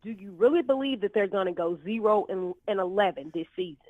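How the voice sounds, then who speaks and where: A woman's voice: medium pitch, lively intonation, a little fast, dry recording. A woman co-hosting a casual college chat, heard over a thin, phone-like line. The sound is very dry and close. She is enthusiastic and affirming as she moves the show from topic to topic. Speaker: podcast co-host.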